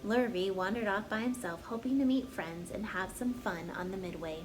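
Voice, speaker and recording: A woman storyteller reads a children's tale aloud with expression. Her voice sits at a medium pitch, with soft music behind it. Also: normal speed, rough recording.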